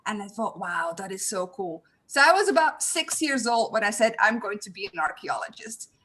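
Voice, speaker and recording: A woman's voice: mid-range voice, lively intonation, normal speed, pushed and bright, a little hiss or hum. A young woman from Belgium with a Belgian accent, introducing herself as host of an online panel, speaking in a friendly, eager way with many "um" fillers over a video call.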